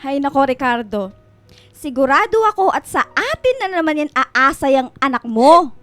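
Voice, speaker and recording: A woman's voice: high voice, big pitch swings, moderate pace, fairly bright tone, no room sound. An actress playing a nosy, disdainful stepmother in a Filipino radio melodrama, haughty and goading. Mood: scornful, outraged. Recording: music bed behind the voice.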